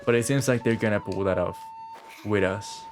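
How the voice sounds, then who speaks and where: A man's voice: on the low side, normal speed. A young man doing casual live gameplay commentary, slangy and full of swearing. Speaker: game streamer. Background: game music.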